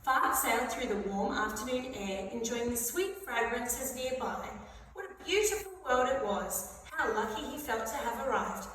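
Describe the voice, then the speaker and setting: A woman with a high voice reads a children's storybook aloud. She is expressive, as a preschool story reader would be. The recording is very echoey and distant, with faint noise. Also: normal speed. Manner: expressive, acts out dialogue. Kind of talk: animated children's story reading.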